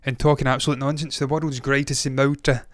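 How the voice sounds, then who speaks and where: A man's voice: medium pitch, little pitch movement, brisk, no echo. A man in his thirties hosting a movie news show, talking casually, with rambling opinionated asides. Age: 30s.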